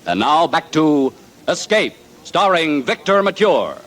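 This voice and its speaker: A man's voice: fairly high pitch, very animated, measured pace, fairly bright tone. A man announcing in 1940s radio style, delivering a promotional read. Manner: smooth, promotional. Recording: old radio broadcast with music.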